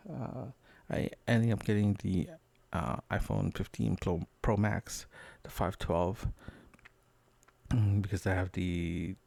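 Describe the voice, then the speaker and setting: A man talks off the cuff, as if keeping a personal audio diary. His voice sits on the low side with plenty of fry, and the sound is dry and close. He wanders from one aside to the next, halting as he goes. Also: a little mellow, dry recording. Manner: rambling, halting, trailing asides. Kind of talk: casual, unscripted spoken diary.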